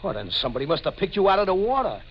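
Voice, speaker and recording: A man's voice: sits high, sing-song, very fast. An actor playing a blunt, impatient, streetwise male crook with slangy tough-guy talk in a 1940s radio mystery. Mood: offhand. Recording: dull, slightly noisy 1940s radio recording.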